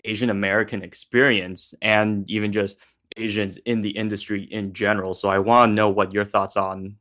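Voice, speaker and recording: A man with a lowish but bright, forward voice hosts a casual discussion. He meanders toward his questions, rewording them as he goes. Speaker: discussion host.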